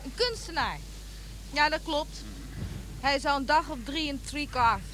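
Nosy chatty village woman in a radio drama, high sharp voice, matter-of-fact. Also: unhurried, a little hiss or hum. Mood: curious, helpful. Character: chatty, inquisitive village woman giving directions.

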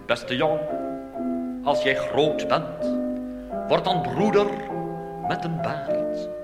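A man's voice: on the high side, loud music behind the voice. A man playing a jolly recruiting monk who woos boys in a comic cabaret song, hearty and declamatory. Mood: mock-solemn, rousing. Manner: hearty, declamatory, drawn-out delivery. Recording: live hall recording with long reverb.

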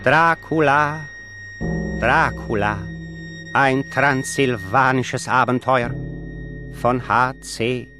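A man formally reading the credits of a radio drama in a measured way. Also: medium pitch. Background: music.

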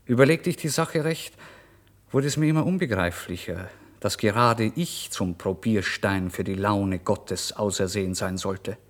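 Actor as a low-voiced, tormented, brooding starving man. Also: natural voice. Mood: indignant questioning. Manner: brooding, deliberate, theatrical, swinging between murmur and outburst. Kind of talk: dramatic first-person literary monologue for radio play.

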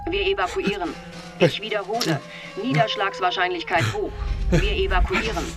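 A performer playing an impersonal announcer in a radio drama, giving formulaic warnings in a flat manner. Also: medium pitch, normal speed, lightly acted. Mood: impersonal, ominous. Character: impersonal announcer repeating evacuation warning and countdown. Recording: music behind the voice.

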